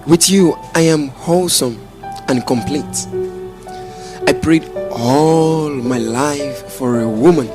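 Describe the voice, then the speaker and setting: A man's voice: a little high, takes it slowly. A man with a Nigerian accent acting an adoring husband, tender and declamatory, in a romantic radio drama. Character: adoring, poetic husband in love. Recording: music behind the voice.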